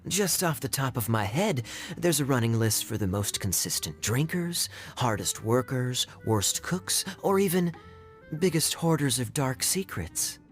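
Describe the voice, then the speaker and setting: A man's voice: mid-range voice, animated, measured pace, soft background music, close to an everyday voice. An actor playing a suave, sly young man who teases his drinking companions in a video game. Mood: wry, amused. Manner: sly, drawling, theatrical teasing. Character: suave, teasing young male drinking buddy, sly and playful.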